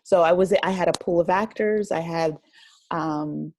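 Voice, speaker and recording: A woman speaking as a podcast guest in casual conversation, reflective and appreciative, gathering her thoughts haltingly as she goes. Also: fairly low pitch, darkish tone. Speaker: podcast guest, writer and audio drama creator.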